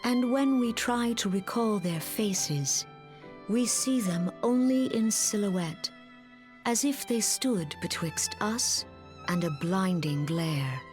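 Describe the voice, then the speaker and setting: An actress playing a serene, wise mystic leader in a fantasy game, speaking formally and gravely with measured, archaic diction. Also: female voice, mid-range voice, over music, natural voice. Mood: solemn reverence. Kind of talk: scripted fantasy game cutscene acting.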